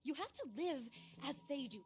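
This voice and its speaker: A woman's voice: high voice, expressive, normal speed, soft background music. An actress playing an eccentric, impassioned woman naturalist of the Old West in a video game, theatrical and articulate. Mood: earnest, excitable. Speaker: field naturalist.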